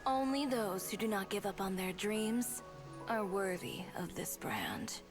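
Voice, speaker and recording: A woman's voice: mid-range voice, a little slow, soft background music, lightly acted. A woman playing a proud, uncompromising young fashion designer in a video game, with a cool, assured, declarative delivery. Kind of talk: scripted video game voice acting.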